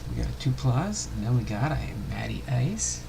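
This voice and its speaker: Clear background noise sits under an older man's gravelly, creaky voice. He hosts a casual livestream, rambling along in a folksy, unhurried manner. His pitch sits around the middle for a man. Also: sing-song. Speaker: livestream host.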